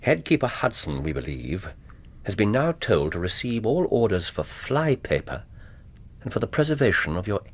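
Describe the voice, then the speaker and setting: English detective, very low voice, husky, lively intonation, cerebral, precise, brooding, radio drama. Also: male voice, moderate pace. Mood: musing, intent. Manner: precise, thinking aloud in broken bursts. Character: brooding, cerebral English male detective recounting an old case.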